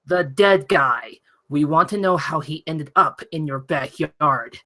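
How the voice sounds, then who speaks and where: A woman's voice: deep voice, a little slow, bright, forceful tone, full character voice. A woman playing an impatient, foul-mouthed detective in a comedy table read, brusque and exasperated.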